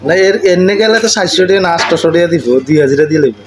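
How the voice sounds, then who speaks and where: High-pitched male, eastern Bengali dialect, teasing casual chat, street noise behind. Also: expressive, quick pace. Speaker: vlogger chatting with a friend. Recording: noisy outdoor recording with traffic ambience.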